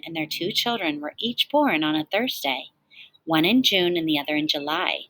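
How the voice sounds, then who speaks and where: A woman reading a children's storybook aloud in an expressive, animated way, like a teacher talking to young listeners. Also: fairly low pitch, rough recording.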